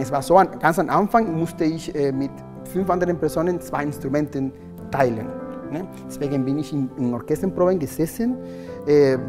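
Mann mit spanischem Akzent, zögerlich erklärend. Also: male voice, fairly high pitch, in a large hall. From Venezuela. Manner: friendly, hesitant, many ähm fillers. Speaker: orchestral oboist, guest. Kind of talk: casual explaining. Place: stage set, indoors.

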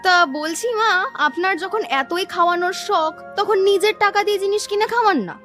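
A woman's voice: very high-pitched, fairly quick, fairly bright tone, faint music behind. A woman voicing a mean, stingy daughter-in-law in a children's cartoon, with a sneering, sarcastic manner. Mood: scornful.

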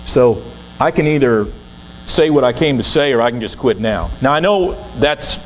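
A man's voice: mid-range voice, expressive, moderate pace, low-quality recording. Older guy preaching at the pulpit, earnest and chatty, bit of mains hum on the mic. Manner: earnest, conversational, with gestures. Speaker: guest preacher. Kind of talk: sermon. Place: church sanctuary, at the pulpit.

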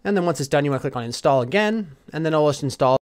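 A man giving a casual step-by-step software tutorial as a voice-over, addressing viewers informally. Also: fairly high pitch, expressive, rapid-fire. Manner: instructional, addresses viewers informally as "you guys". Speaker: tutorial host.

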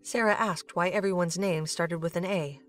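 A woman's voice: lowish voice, fairly even intonation, normal speed, faint music behind. A woman reading scripted narration for an audio drama, as a storyteller.

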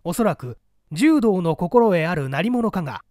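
A man voicing a young detective's stern written report in a formal, declamatory, deliberate manner. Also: sits high, sing-song, a little mellow, no room sound, clean studio sound.

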